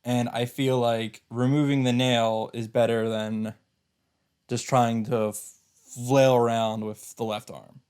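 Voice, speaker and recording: A man giving casual let's play commentary and reading visual novel narration aloud in a halting, rambling way. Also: medium pitch, little pitch movement, darkish tone, clear, resonant tone.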